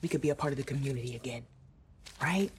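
A woman acting as a persuasive, excitable American dreamer in a naturalistic drama. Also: very deep voice, fast, a little hiss or hum. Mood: coaxing, nostalgic.

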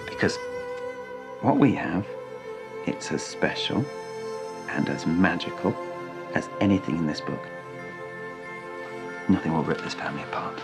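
A tender father with a very deep voice, reading a bedtime story slowly. He speaks softly over gentle music. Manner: soft and slow. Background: music score.

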